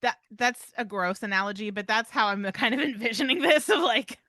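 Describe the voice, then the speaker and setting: A woman's voice: medium pitch, fairly quick, sharp tone, no echo, studio quality. A woman co-hosting a podcast in a conversational style, with emphatic delivery and casual asides. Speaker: podcast co-host. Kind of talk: conversational pet-behaviour podcast talk.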